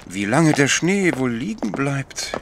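An actor playing a mild, observant little priest in a German audio drama, speaking precisely and a bit pedantically. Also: male voice, medium pitch, very animated, takes it slowly, gravelly, noisy background, exaggerated voice. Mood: thoughtful.

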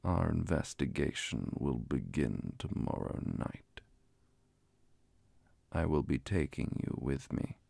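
A calm, authoritative vampire inquisitor acted out by a man for an ASMR roleplay. The delivery is extremely slow and deliberate, soft and formal, with a dark tone and heavy vocal fry. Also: a bass voice, dry recording, pitched below the performer's own voice, full character voice. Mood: grave, authoritative. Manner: slow, deliberate, measured questioning.

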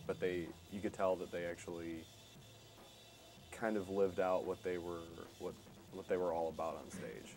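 A young adult man, a student band member, speaking cheerfully with jokes in an interview. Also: sits low. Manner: cheerful, joking. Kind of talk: documentary interview.

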